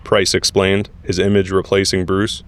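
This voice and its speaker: A man's voice: low voice, monotone, moderate pace. A man narrating an audio drama from a script, telling the story in the third person.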